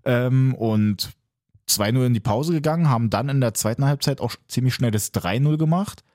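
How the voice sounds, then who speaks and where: A man's voice: mid-range voice, moderate pace, darkish tone, dry recording. Young male co-host, casual and rambling, dry and close. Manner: rambling, many fillers and "halt". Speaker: podcast co-host. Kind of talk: casual football podcast chat.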